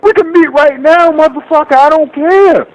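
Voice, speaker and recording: Man acting a cocky, high-pitched tough guy. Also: moderate pace, dull audio. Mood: taunting, combative. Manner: taunting, profane, challenging. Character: cocky, combative man bragging of connections. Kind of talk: soundboard clips played into a prank call.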